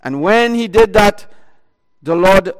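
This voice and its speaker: High-voiced loud male preacher. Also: animated, takes it slowly, clear, resonant tone, in a large hall. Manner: loud voice, talks in bursts with many fillers and restarts. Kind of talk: sermon retelling a Bible story.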